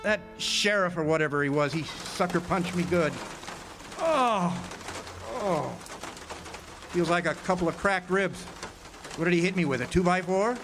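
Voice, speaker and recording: An actor playing a wry, defiant war-veteran drifter who also narrates a hardboiled radio drama. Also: male voice, high-pitched, expressive, unhurried, long pauses. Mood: groggy, pained. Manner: wisecracking, hardboiled. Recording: live amphitheater stage, music behind.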